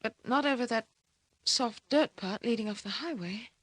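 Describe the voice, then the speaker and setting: A woman's voice: on the high side, moderate pace, a bit bright, no echo. An Australian actress playing an anxious, earnest young woman in an old-time radio thriller, speaking in a breathless, dramatic, insistent way. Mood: puzzled, insistent.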